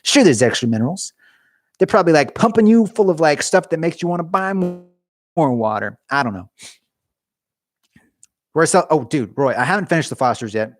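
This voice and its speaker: A man's voice: on the high side, very animated, fairly quick, pauses often, no echo. Rambling male streamer, dry garage sound. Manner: rambling, joking asides to viewers. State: losing his voice. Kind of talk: casual live-stream chat.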